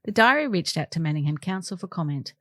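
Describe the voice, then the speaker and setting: A woman's voice: on the low side, very animated, fast talker, a bit bright, dry recording, studio quality. A woman reading local newspaper articles aloud as scripted narration. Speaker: volunteer newspaper reader.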